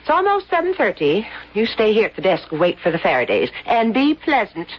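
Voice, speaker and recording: An actress playing a brisk, no-nonsense innkeeper in a radio drama, with a folksy, scolding way of talking. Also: female voice, mid-range voice, wildly swooping pitch. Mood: bossy, scolding. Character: brisk, bossy, no-nonsense female innkeeper, incredulous. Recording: dull lo-fi radio recording, some noise.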